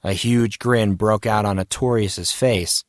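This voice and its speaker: A man's voice: fairly low pitch, fairly even intonation, measured pace, no echo, studio quality. A man reading scripted story narration as an audiobook narrator.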